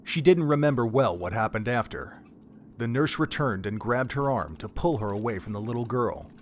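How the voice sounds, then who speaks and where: A man's voice: medium pitch, lively intonation, moderate pace, a little hiss or hum. A man narrating a dramatic story from a script, as the narrator of an audio drama.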